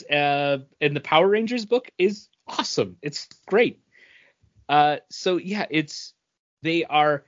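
A man co-hosting a podcast, talking casually and earnestly as a fan, with pauses and emphatic praise. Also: medium pitch, fairly bright tone, muffled recording. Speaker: podcast co-host. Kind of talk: casual geek-culture panel chat.

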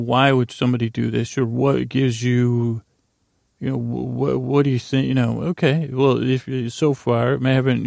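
A man hosting a bedtime podcast, meandering and halting in a creaky voice, with an easy, soothing delivery meant to lull listeners to sleep. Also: mid-range voice, a little mellow, no room sound, studio quality. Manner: meandering, halting, trails off and restarts. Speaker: podcast host.

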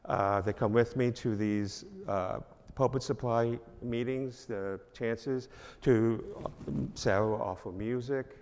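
A man's voice: medium pitch, fairly even intonation, slow pace, a little mellow. Older New York man in echoey church. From New York, Long Island. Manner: rambling, filler-heavy, self-deprecating. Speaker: guest speaker, minister. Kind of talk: informal church address. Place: church sanctuary. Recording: long reverb of a church hall.

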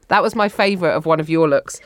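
Low-voiced, rambling bawdy comedian. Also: female voice, brisk, no echo, clean studio sound. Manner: rambling, stammering restarts, bawdy. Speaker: guest co-host. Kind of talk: improvised comedy banter. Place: studio in New York.

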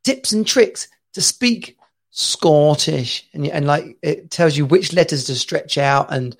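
Gravelly chatty male stream host. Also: a little high, slow pace. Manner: rambling, chatty, laughs often. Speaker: podcast co-host. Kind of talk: casual live-stream chat.

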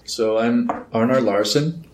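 A man from Iceland, a guest engineer explaining his work in a measured, unhurried way. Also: medium pitch, darkish tone, reverberant, a little hiss or hum, low-quality recording. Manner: measured, explanatory, with small laughs. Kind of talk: casual interview.

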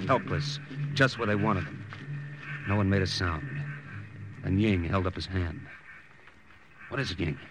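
An actor playing a wry, urgent American army officer in a clipped, hard-boiled old-time radio war drama. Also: male voice, on the low side, lightly acted. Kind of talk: 1940s-style radio drama acting and narration. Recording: very noisy vintage radio recording.

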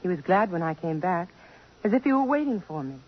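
Self-possessed young heroine, direct and composed, husky tone, dull lo-fi vintage radio sound. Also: female voice, on the low side, very animated, a little fast. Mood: uneasy, firm. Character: poised, self-possessed young woman under suspicion. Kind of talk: 1950s radio drama acting. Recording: dull lo-fi 1950s radio recording.

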